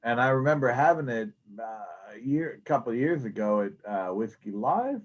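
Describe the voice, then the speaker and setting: A man's voice: mid-range voice, expressive, normal speed. A man making playful jokes while chatting casually on a video call. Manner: joking, playful. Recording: dull lo-fi video call audio.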